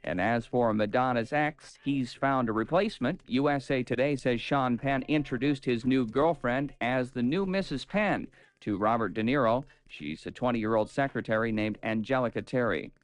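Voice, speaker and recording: A man's voice: medium pitch, normal speed. A man reading scripted entertainment news on the radio, with chatty asides.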